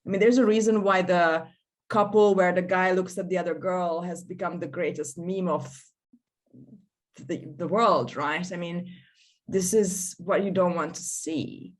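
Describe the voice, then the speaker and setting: Co-host in her 30s, somewhat low voice, discursive, video-call chat. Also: fairly even intonation, unhurried. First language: Hungarian. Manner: discursive, thinks aloud with frequent restarts. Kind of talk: casual panel discussion.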